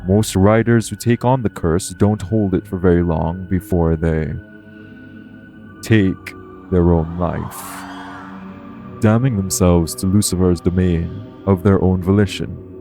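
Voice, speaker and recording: A man playing a calm, protective guardian angel in an audio roleplay, speaking in a formal, measured, earnest way. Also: low voice, vocal fry, faint music behind, full character voice. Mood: solemn, explaining. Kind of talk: audio roleplay acting, addressed to the listener.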